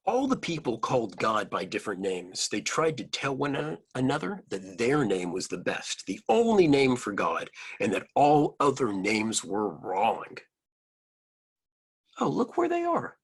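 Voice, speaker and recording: A man reading a picture book aloud to children, adding simple explanatory asides in an expressive manner. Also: medium pitch, measured pace, husky.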